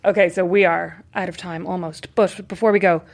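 A woman's voice: lowish voice, steady tone, a little fast. A woman hosting a podcast interview, asking questions in an easygoing, affirming way. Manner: easygoing, affirming, casual fillers. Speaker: podcast host. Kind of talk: conversational interview.

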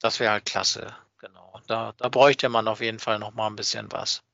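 A man's voice: on the low side, level tone, moderate pace, creaky, sharp tone, no room sound. A man talking casually and unscripted as co-host of a fan podcast. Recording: dull, dry, band-limited audio.

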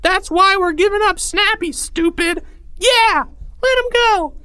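A man voicing an excitable, cheeky young schoolchild in an audiobook, with a squeaky, squealing voice. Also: measured pace, dry recording, heavily acted. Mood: fed up, cheeky. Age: child. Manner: excitable, insistent. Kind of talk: audiobook character voice.

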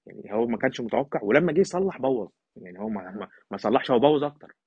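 A man's voice: mid-range voice, lively intonation, rapid-fire, dark tone, slightly rough. An Egyptian man giving casual, emphatic football analysis in Egyptian Arabic, as an opinionated podcast guest. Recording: thin phone-like line, slightly roomy.

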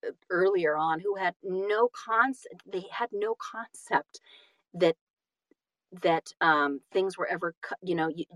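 A woman chatting casually about her trade in an emphatic, animated way, often doubling back and repeating words. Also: on the low side, a little slow, dry recording. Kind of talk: casual conversation about the audiobook business.

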